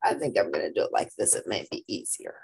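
A woman reading a picture book aloud to children with expressive narration. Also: rumbling low, quick pace, gravelly, slightly rough. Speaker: storybook reader.

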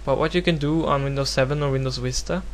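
A man from Norway speaking English as a second language with a Norwegian accent, giving a casual, unscripted software tutorial in a hesitant, rambling, self-deprecating way. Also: mid-range voice, fast talker. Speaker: tutorial presenter. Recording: home recording with clear background noise and hum.

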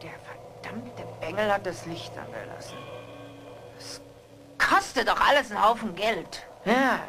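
A woman's voice: mid-range voice, expressive, a little slow, noisy background. A woman playing an eccentric, volatile older landlady and faded singer in a theatrical horror audio drama. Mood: muttering, vain.

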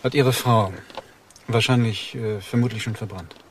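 An actor playing a greedy, suspicious blackmailer in a crime drama, talking in a curt, demanding, indignant way. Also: male voice, lowish voice, fairly even intonation, moderate pace, natural voice. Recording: noisy archive crime drama audio.

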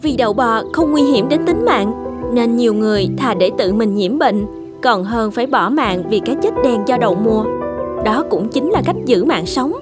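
A woman playing a gentle, steadfast young countrywoman in a cartoon, earnestly explaining herself. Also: fairly high pitch, expressive, normal speed, natural voice. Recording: loud background music.

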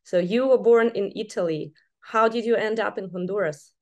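A young woman with a mid-range voice speaks in a measured, prepared way, introducing a guest and asking questions. She talks over a laptop mic from a room with bookshelves. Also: mid-range voice, darkish tone, lo-fi sound. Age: young adult. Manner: scripted, measured reading of an introduction. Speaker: interviewer. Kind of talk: video call interview. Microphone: video call laptop mic.

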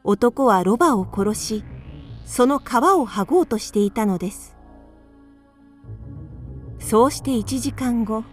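Female narrator, calm storybook reading, slightly high voice. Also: slow pace, pauses often, faint music behind.

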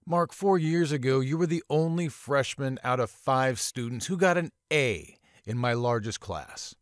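A man voicing a stern, formal older male professor in a visual novel, speaking in a measured way. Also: medium pitch, expressive, no room sound, studio quality, pitched above the performer's own voice, close to an everyday voice. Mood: stern reproach.

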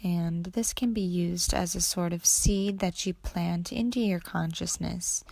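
A woman guiding a meditation in a soothing, unhurried way, with breathy ends to her phrases. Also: on the low side, dark tone, no echo. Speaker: yoga nidra meditation guide. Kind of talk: guided meditation narration.

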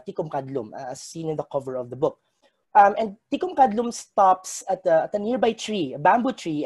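Man lecturing in a mellow voice. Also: high voice. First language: Hiligaynon. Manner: measured, scholarly lecturing with frequent pauses. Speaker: academic presenter. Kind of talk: conference paper talk. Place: indoor room. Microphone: video call webcam.

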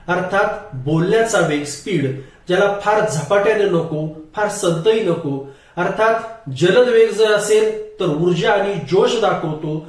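A man giving a formal, explanatory lesson as a public speaking coach, in measured teacher-like phrasing. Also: high voice. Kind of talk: instructional lecture to camera. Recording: very echoey room, distant microphone.

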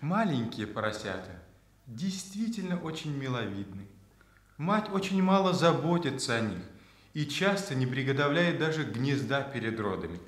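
An actor playing a teasing young son in a Soviet radio play, reciting with mock solemnity in a roomy echo. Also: male voice, medium pitch, very animated, moderate pace, a bit bright.

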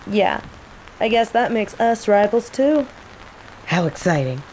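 Female actor as smug young sorceress for roleplay audio; mellow, unhurried, playfully taunting, rain behind. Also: mid-range voice, rough recording. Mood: taunting, calm. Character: teasing young female nature mage, smug rival apprentice. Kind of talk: roleplay audio drama monologue. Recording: steady rain noise behind.

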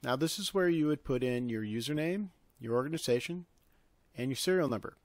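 A man hosting an informal software tutorial, talking step by step in an instructional way. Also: mid-range voice, lively intonation, a little fast, dry recording. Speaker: tutorial host.

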